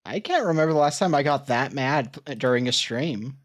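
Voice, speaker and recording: A woman's voice: extremely low pitch, lively intonation, fairly quick. A woman streamer chatting casually and rambling as she reacts to her old clips.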